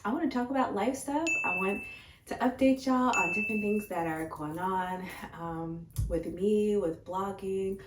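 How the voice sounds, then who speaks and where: An American woman with a slightly hoarse, raspy voice, chatting informally and expressively to her viewers in a casual life-update vlog. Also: medium pitch, moderate pace, very noisy. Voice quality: a little raspy. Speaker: vegan food vlogger and host. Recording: echoey room, distant mic, faint music.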